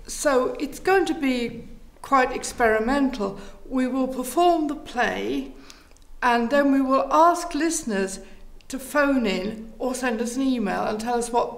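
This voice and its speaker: A woman speaks in a fairly high voice with a strong creak running through it. She answers an interviewer, explaining and telling stories as someone who leads a theatre group. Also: expressive, a little slow.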